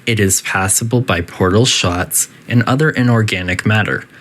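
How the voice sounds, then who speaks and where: A synthetic voice playing a politely detached, quietly menacing artificial intelligence that makes formal, deadpan announcements in a video game test facility. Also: mid-range voice, moderate pace, lightly acted. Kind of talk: scripted game announcer narration.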